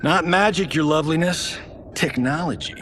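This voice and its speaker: A man's voice: on the high side, moderate pace, fairly bright tone, a little hiss or hum, lightly acted. An actor playing a cocky, flippant showman and flirty braggart in a video game, boastful and wisecracking. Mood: smug, flirty.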